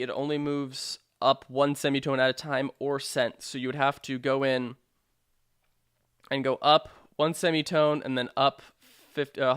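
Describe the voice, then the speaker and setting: A man narrating a software tutorial in an informal, explanatory way with hesitant phrasing. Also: mid-range voice, clear, resonant tone, no echo, studio quality. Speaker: sound design tutorial presenter.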